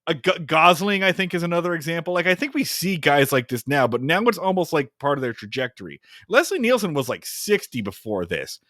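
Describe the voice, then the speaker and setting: Podcast co-host, male, lively comic storyteller who slips into goofy voices; dry, close sound. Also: a little high, brisk, few pauses, no echo. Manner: animated, acts out scenes in funny voices, swears. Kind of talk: casual chat recapping a film.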